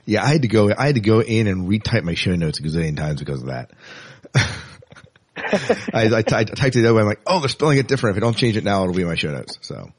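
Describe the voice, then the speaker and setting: A man's voice: fairly low pitch, sing-song, quick pace, breathy edge. A man hosting a casual interview in an informal, upbeat way. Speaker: podcast host.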